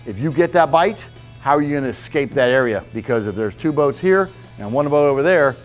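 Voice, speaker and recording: A man's voice: medium pitch, lively intonation, brisk, faint music behind. A man in his fifties giving a casual, blunt fishing seminar in a club meeting room, checking in with "okay?" as he goes. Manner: blunt, folksy, repeats "okay?" to check listeners. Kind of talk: casual hands-on fishing talk.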